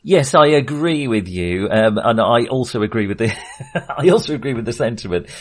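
A man co-hosting a film podcast in casual discussion, with a halting, hesitant and amused way of talking. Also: medium pitch, lively intonation.

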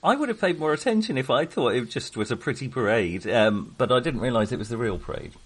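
A man with a husky voice hosts a casual film podcast. His pitch moves around in an animated way, and his delivery is wry and jokey. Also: fast. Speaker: podcast host.

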